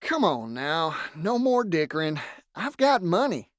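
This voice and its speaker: An easygoing, cowboy-like fortune seeker in a game. The voice is folksy and colloquial, rising and falling freely in an unhurried drawl. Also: medium pitch, very animated, slight background noise. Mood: firm, wry. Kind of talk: dramatic video game voice acting.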